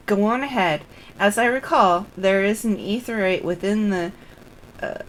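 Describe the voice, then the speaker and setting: A woman reading the lines of an earnest young nobleman in a fantasy game, with formal, measured phrasing. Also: lowish voice, a little hiss or hum, rough recording.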